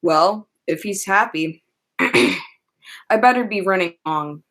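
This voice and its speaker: A young woman acting a mild, courteous older clergyman in a high school radio play, measured and polite. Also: fairly bright tone.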